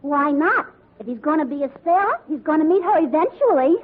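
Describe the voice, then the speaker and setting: A woman's voice: high-pitched, expressive, fairly quick, very noisy. A woman playing a man-crazy, scatterbrained, unflappable young dame with an airy, matter-of-fact manner in radio comedy. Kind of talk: 1940s radio comedy acting. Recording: tinny vintage radio broadcast.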